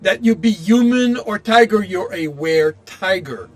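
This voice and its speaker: A man chatting casually while playing a game, explaining his thoughts in a halting, rambling way. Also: sits high, expressive. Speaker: game streamer. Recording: game music faintly behind the voice. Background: faint video game music.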